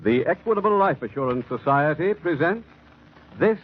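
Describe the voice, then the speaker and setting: A man's voice: fairly high pitch, lively intonation, moderate pace. An American man announcing a sponsored radio show in a formal 1940s broadcast style. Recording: tinny, band-limited 1940s radio recording. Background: music.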